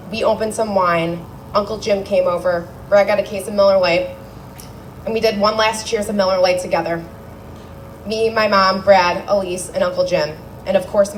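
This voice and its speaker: Daughter giving a heartfelt eulogy speech. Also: female voice, mid-range voice, a little hiss or hum, low-quality recording. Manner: heartfelt, wry storytelling. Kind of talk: memorial speech read aloud. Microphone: handheld microphone.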